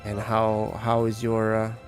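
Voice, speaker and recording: A man's voice: fairly low pitch, completely flat delivery, measured pace. A man from Lithuania with a Lithuanian accent, a game streamer giving casual, rambling and somewhat hesitant commentary and chat. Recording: music behind the voice. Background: music.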